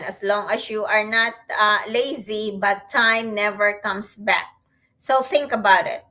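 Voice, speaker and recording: A middle-aged woman with a Filipino accent hosting a livestream, talking to viewers in a reflective, halting way. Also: mid-range voice, sharp tone, low-quality recording. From Philippines. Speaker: host, online business owner.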